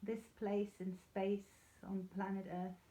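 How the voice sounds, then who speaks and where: A woman leading a guided yoga nidra meditation in a soothing, unhurried, gently reassuring way. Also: mid-range voice, little pitch movement. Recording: slightly dull, echoey room sound.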